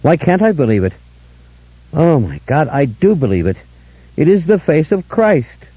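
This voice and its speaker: A man's voice: on the high side, wildly swooping pitch, drawn-out words, breathy edge, no echo, pitched above the performer's own voice, full character voice. A shattered young male vampire, sobbing and pleading in a raised, anguished voice, as a male narrator puts him on. The audio is slightly dull and lo-fi.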